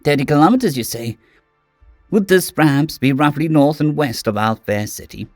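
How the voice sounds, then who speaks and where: Man doing a small nervous alien, chatty and fawning, high voice, dry recording, faint music behind. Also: expressive, normal speed, no echo, natural voice. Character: small timid mammalian alien, chatty and eager.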